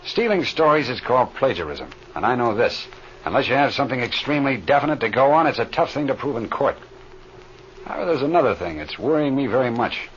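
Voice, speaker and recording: A man plays a seasoned, steady flight leader in a vintage radio adventure. He talks quickly and folksily, with lively swings in pitch. The recording is muffled and faintly hissy. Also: close to an everyday voice. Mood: troubled, serious. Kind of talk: old-time radio drama acting.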